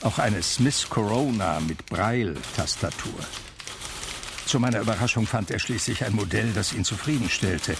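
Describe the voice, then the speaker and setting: A man acting the narrator of a radio drama, a reflective blind man telling his memories in a measured, wry, gravelly voice. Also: on the low side, background noise. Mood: reflective, observant.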